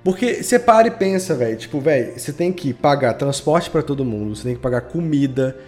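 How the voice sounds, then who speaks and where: A man's voice: mid-range voice, lively intonation, fairly quick, a little mellow, faint music behind. A Brazilian man in a casual interview chat, talking as a podcast guest in an enthusiastic, slangy, rambling way. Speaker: podcast guest, audio drama creator and editor.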